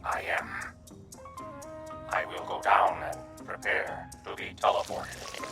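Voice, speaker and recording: A man playing a gentle, noble insect-like alien in a radio drama, speaking formally and earnestly in a breathy half-whisper. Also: close to an everyday voice. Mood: solemn, grateful. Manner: formal, measured, stilted speech without contractions. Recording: echoing voice with music behind.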